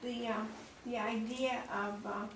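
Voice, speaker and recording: A woman commenting informally in a group discussion, speaking in a halting, thoughtful way. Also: on the high side, steady tone. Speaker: audience member commenting. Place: room at a live play reading. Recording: roomy distant mic, faint noise.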